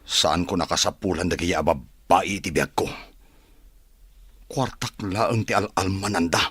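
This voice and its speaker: Casting: male actor as proud wealthy bachelor for audio drama, very low voice, forceful edge, husky. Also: normal speed, dry recording. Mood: cold, stubborn. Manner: curt, scornful, emphatic. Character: rich, arrogant, cold bachelor son who distrusts women. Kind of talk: dramatic radio-style acting.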